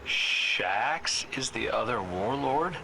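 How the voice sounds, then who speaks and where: A man playing a measured, formal character in a video game cutscene. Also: medium pitch, animated. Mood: wry. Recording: clearly noisy ambience behind the voice.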